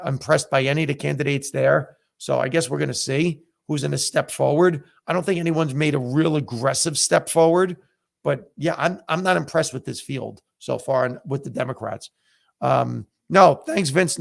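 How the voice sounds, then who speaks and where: Gravelly man on a casual live stream. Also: a little high, normal speed. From United States. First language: Italian. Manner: conversational, frequent fillers, candid opinions. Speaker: comedian and podcast host.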